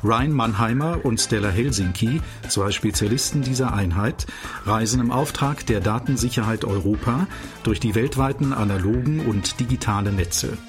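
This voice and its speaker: A man reading a scripted introduction as the announcer of a radio play, in a measured, factual manner. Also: mid-range voice, music in the background. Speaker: narrator and announcer.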